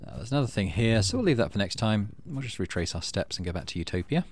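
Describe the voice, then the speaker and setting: Lively-toned man with a low voice. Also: fast. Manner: thinks aloud while playing. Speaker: let's play host. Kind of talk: casual game commentary and reading game text aloud.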